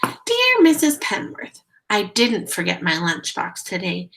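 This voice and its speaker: A woman's voice: mid-range voice, huge pitch swings, unhurried, vocal fry, a bit bright, exaggerated voice, rough recording. A woman voicing an earnest young schoolgirl in a storybook, reading out notes and verse in a sincere, sing-song way. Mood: earnest, proud.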